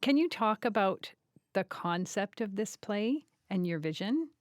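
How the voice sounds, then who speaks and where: A woman hosting an interview, asking measured, prepared questions. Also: mid-range voice, lively intonation, no room sound, studio quality. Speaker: interview host.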